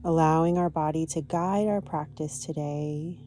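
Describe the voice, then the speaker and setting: Low, mellow-voiced woman guiding yoga. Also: steady tone. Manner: gentle, soothing, unhurried guidance. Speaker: yoga teacher. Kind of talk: guided yoga and meditation instruction.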